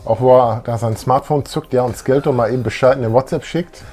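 A man's voice: mid-range voice, fast, warm tone. A man in his thirties chatting casually and enthusiastically as co-host of a retro fan show. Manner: enthusiastic, colloquial. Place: small indoor room full of collectibles.

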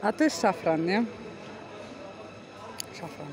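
A woman's voice: lowish voice, animated, takes it slowly. Enthusiastic woman vlogger in a busy market. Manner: enthusiastic, chatty commentary. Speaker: vlog host. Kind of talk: casual market-tour vlog, showing and explaining goods. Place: large covered market hall. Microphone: handheld phone camera, speaker behind it. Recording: busy market crowd noise. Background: bustling market crowd.

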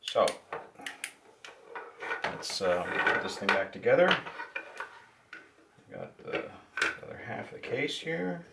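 A man giving a casual hands-on tutorial, talking in short, halting remarks as he works. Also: fairly low pitch, sing-song. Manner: halting, muttered step-by-step remarks while working with hands. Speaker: hobbyist demonstrator. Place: indoors at a tabletop workbench. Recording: distant mic, clear noise, clicks.

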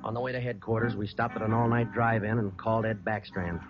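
An actor playing a tough, dry police detective who also narrates in 1950s radio drama, terse and matter-of-fact. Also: male voice, fairly low pitch, fairly even intonation, quick pace, loud music behind the voice, lightly acted. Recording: muffled old radio recording.

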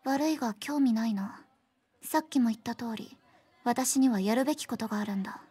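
A woman's voice: on the high side, moderate pace, warm tone, slightly rough. A woman playing an aloof, serious teenage schoolgirl in a Japanese anime game, speaking in a blunt, formal, detached way. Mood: cool, detached.

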